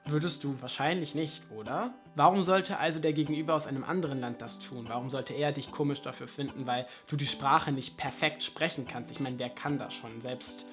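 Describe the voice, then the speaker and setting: A man's voice: mid-range voice, a little fast, hardly any pauses, music in the background. A young man talking casually in an advice vlog, chatty and full of rhetorical questions and self-mocking asides. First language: German. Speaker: language vlogger.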